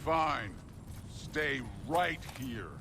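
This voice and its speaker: An actor voicing a gruff, domineering warrior god in a video game, curt, scornful and spoiling for a fight. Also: male voice, expressive, drawn-out words, heavily acted. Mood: grudging. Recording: loud game ambience and rumble behind.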